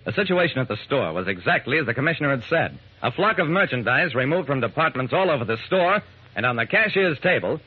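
A man's voice: medium pitch, very animated, fast, slightly rough, narrow, tinny audio. A man acting as an earnest, kindly amateur sleuth who narrates his own story in an old radio drama. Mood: measured, intrigued. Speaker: narrator and lead actor. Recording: noisy, band-limited old radio broadcast.

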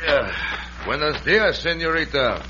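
Hesitant, lively-pitched outlaw posing politely, muffled, noisy vintage radio drama. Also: male voice, measured pace. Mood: falsely polite, evasive. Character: shifty outlaw posing as a polite cowboy hero. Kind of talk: old-time radio western acting.

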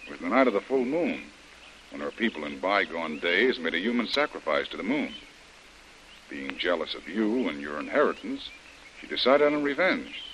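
A man's voice: fairly low pitch, fairly bright tone. A man playing a shrewd, commanding adventurer hero in a vintage radio drama, explaining things emphatically. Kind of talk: 1940s radio drama acting. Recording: noisy old radio broadcast recording.

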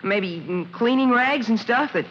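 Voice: mid-range voice, lively intonation, fairly bright tone. A performer playing an eager, earnest, stammering schoolboy in a 1940s radio drama. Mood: flustered. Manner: halting, stammering. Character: eager, flustered schoolboy, golly-gee earnest. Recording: noisy, dull vintage radio recording.

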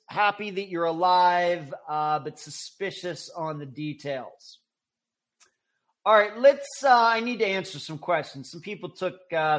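Guy hosting a live podcast, kinda high voice, rambles through listener comments. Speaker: podcast host.

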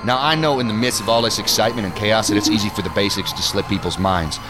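An actor in an audio drama playing a gruff, commanding federal investigator, sardonic and stern, in charge of a disaster scene. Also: male voice, on the low side, quick pace, natural voice. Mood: weary sarcasm. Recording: loud music bed behind the voice.

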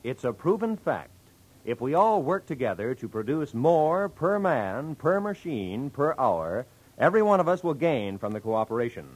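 Lively American radio announcer man. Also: mid-range voice, moderate pace, poor audio. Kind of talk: 1940s radio announcing, scripted public message.

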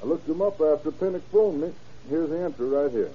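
A man's voice: a little high, animated, brisk, breathy edge. An actor playing a plain-spoken, obliging garage man with folksy, clipped phrasing, in old radio drama style. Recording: dull vintage radio drama recording.

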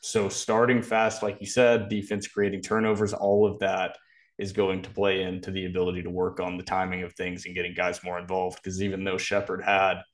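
A man with a fairly low voice talks football as a podcast co-host. He explains things in a measured, detailed way, in a casual analytical style. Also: monotone.

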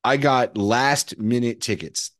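A man with a hoarse, worn voice, co-hosting a casual sports podcast and needling his friend. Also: medium pitch, slow pace, dry recording, clean studio sound. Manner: teasing, needling his co-host. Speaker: podcast co-host.

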